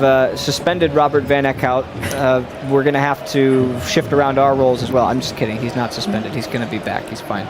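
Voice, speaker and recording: A man doing casual live play-by-play commentary of a match, quick and joking as he calls the action. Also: medium pitch, background noise.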